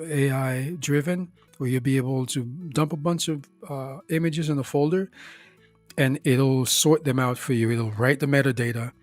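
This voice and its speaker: Man, slightly hoarse, halting, filler-heavy, casual founder rundown, dry close sound. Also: medium pitch, dry recording. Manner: halting, many fillers and restarts, conversational. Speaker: startup founder presenting his projects. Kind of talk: casual spoken rundown of projects.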